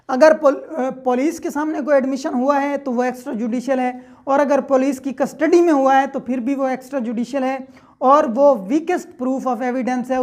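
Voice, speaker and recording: A woman's voice: high voice, mellow. A woman giving a methodical law lecture, often checking in with her listeners as she explains each point.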